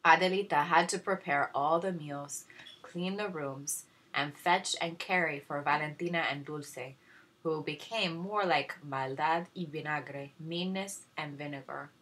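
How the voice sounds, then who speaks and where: A woman teacher reading a children's storybook aloud in an expressive narrating style. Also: low voice, a little slow, low-quality recording.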